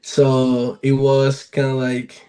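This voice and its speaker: A man's voice: mid-range voice, monotone, slow pace, dark tone, smooth. A man in his twenties from Venezuela with a Latin American accent, talking casually as an athlete being interviewed. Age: 20s. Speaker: professional baseball player, interview guest.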